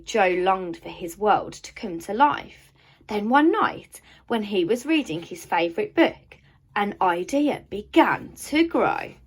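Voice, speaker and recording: Female storyteller for primary-age picture book, mid-pitched, lively intonation, teacherly delivery with deliberate pauses. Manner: expressive storytelling with deliberate pauses and emphasised words. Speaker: primary school teacher reading to pupils. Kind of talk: children's picture book read-aloud.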